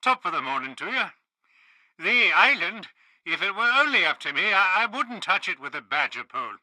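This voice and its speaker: An actor playing a breezy, blunt British lord with jaunty upper-crust banter, as a guest in a comic radio interview. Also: male voice, high voice, very animated, moderate pace, bright, forceful tone, no echo, full character voice, poor audio. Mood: jovial disdain.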